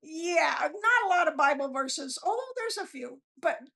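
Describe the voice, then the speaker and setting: A woman's voice: high voice, expressive, normal speed, gravelly, pushed and bright. A woman chatting casually as an interview guest, rambling through stories in a folksy, self-deprecating way. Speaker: author being interviewed, guest. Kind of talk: casual, rambling storytelling chat.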